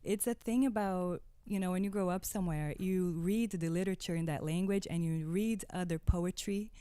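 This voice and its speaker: A woman's voice: on the low side, moderate pace, dark tone, clean tone, no room sound. A woman from Brazil whose native language is Portuguese, a guest singer-songwriter talking conversationally in an interview.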